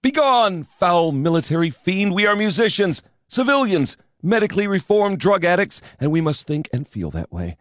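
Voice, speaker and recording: A man voicing a sick, defiant male musician who shouts back in a cracked, hoarse voice. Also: high voice, big pitch swings, normal speed, few pauses, dry recording, studio quality, voice pushed up.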